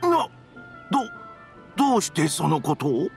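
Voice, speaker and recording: A man's voice: a little high, wildly swooping pitch, unhurried, gravelly, soft background music, exaggerated voice. A man playing a nervous, deferential publishing editor in an anime game, speaking formally and apologetically. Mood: flustered.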